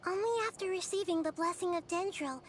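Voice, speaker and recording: A woman playing a gentle, wise, childlike girl deity in an anime video game, small-voiced, measured and articulate. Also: very high voice. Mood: patient. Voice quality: small, girlish. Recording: game stream with background noise.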